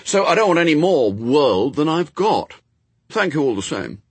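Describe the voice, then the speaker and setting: A man's voice: on the high side, sing-song, moderate pace, a little mellow, husky, no room sound, voice pushed up, full character voice. A man voicing an earnest, stubbornly reasonable young boy in a story, arguing in plain, childlike run-on sentences.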